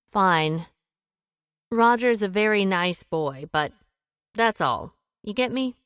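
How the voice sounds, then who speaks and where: An actress playing a proud, secretive businesswoman who speaks briskly and tartly in a radio play. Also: mid-range voice, animated, a bit bright, clean tone, dry recording, studio quality. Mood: defensive. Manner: brisk, tart and defensive. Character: sharp, proud woman business owner, secretive. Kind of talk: radio drama acting.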